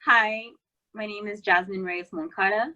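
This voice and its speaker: A woman's voice: mid-range voice, steady tone, normal speed, bright, forceful tone, lo-fi sound. A woman in her thirties from California, a physician speaking earnestly and reflectively, with fillers. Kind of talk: video testimonial.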